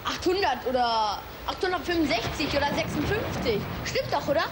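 A woman's voice: sits high, sing-song, brisk, heavy background noise. An actress playing a bold, bossy young girl in a German children's radio play, brash and insistent. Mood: bossy, excited. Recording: loud music bed under the voice.

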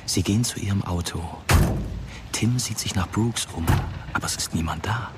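An actor playing a sombre, observant narrator in a scripted radio drama. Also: male voice, lowish voice, moderate pace, very noisy, natural voice. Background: music.

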